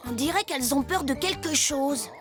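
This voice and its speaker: A little talking tractor for children's animation. It sounds high, eager and friendly, over background music. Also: female voice, lively intonation, normal speed. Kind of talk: children's cartoon acting.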